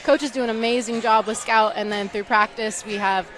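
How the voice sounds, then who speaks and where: A woman's voice: on the high side, fairly even intonation, normal speed, fairly bright tone, faint music behind, rough recording. Young woman athlete, casual and upbeat. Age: young adult. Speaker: college basketball player, guest. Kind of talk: postgame interview answers. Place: courtside table in a gym. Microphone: headset.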